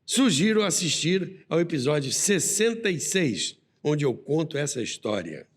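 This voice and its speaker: A man's voice: on the high side, big pitch swings, unhurried, breathy edge. A man with a Brazilian accent narrating a scripted documentary as the host of a radio history show. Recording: long reverberant hall sound.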